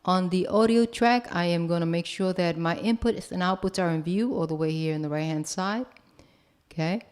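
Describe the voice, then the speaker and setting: A woman with a slightly low voice teaches a software tutorial. She explains each step in a casual, instructional way and often pauses to check that the listener follows. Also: smooth. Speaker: host and music production instructor. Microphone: broadcast microphone.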